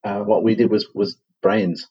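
A man with a Manchester accent talks through his answers in a measured way, often pausing on fillers and restarting his sentences. His voice sits low and has a husky edge. The audio is dull and lo-fi. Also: level tone. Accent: Manchester English. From England. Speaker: guest, company CEO. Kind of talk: podcast interview.

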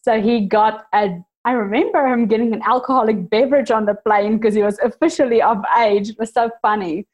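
A woman with a South African accent softened by New Zealand, a native Afrikaans speaker, chatting candidly as a podcast guest and laughing at her own jokes. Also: fairly high pitch, fairly even intonation, fairly quick, few pauses, muffled recording. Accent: South African with New Zealand influence. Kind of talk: casual conversational storytelling.

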